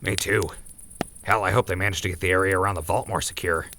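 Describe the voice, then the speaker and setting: Brief: gravelly, very bright-toned, offhand companion played by a man. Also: low voice, fairly even intonation, quick pace, slight background noise. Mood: hopeful.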